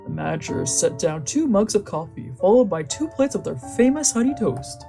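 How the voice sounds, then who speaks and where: A woman with a lowish voice reads visual novel narration aloud, breaking off for casual joking asides. Quiet music plays underneath. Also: big pitch swings, moderate pace, creaky.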